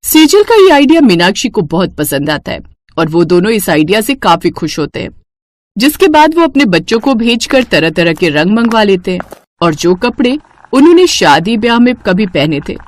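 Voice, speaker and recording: A woman reading scripted narration for a cartoon story in an expressive storytelling way. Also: medium pitch, moderate pace.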